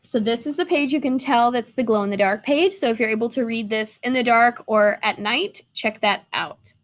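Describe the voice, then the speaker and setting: A woman's voice: on the high side, normal speed. A woman narrating a picture book aloud for children, as a storybook reader.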